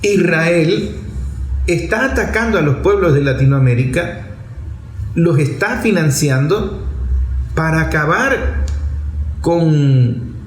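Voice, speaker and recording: Male political commentator, Venezuelan accent, slightly high voice, emphatic phrasing, unscripted, in a roomy echoing recording. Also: animated, slow pace, pauses often. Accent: Venezuelan Spanish. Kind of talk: political monologue, spoken off the cuff. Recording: echoey room with faint background noise.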